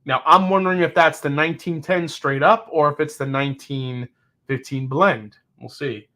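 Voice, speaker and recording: A man in his forties hosting a live whiskey tasting, chatting casually and reacting to viewers' comments with quick, excitable exclamations. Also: fairly high pitch. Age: 40s. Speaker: host of a whiskey tasting show.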